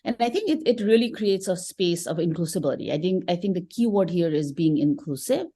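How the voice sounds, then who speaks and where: A woman's voice: fairly low pitch, lively intonation, a little fast, warm tone, slightly rough. A woman in her forties from Nepal, speaking earnestly and thoughtfully as an interview guest, often restarting phrases. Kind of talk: conversational interview.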